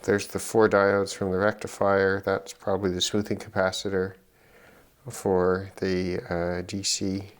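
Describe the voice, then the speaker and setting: A middle-aged man giving unscripted commentary on an electronics teardown, halting and musing as he thinks aloud. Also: low-pitched, monotone, smooth. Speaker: electronics hobbyist presenter.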